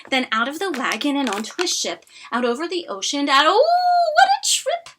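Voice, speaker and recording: A woman's voice: sits high, very animated, moderate pace, a bit bright, a little hiss or hum. A woman reading a rhyming children's story aloud expressively and dramatically, with chatty asides.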